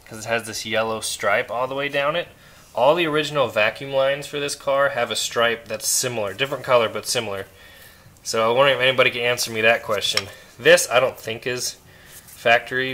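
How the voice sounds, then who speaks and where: A man with a bright, forward voice at a middle pitch talks through a car repair step by step. He sounds relaxed and casual and now and then asks viewers a question. It was recorded outdoors on a camera held close while he works. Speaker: car restoration vlogger. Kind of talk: casual how-to narration. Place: outdoor driveway by a car engine.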